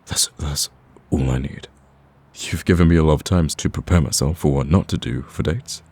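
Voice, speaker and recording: Man playing a very deep, husky lovestruck villain. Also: normal speed, darkish tone. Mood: flirtatious. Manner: smooth-talking, teasing, earnest pleading. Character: smitten male villain, cocky, persistent, wooing. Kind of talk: romantic ASMR roleplay acting.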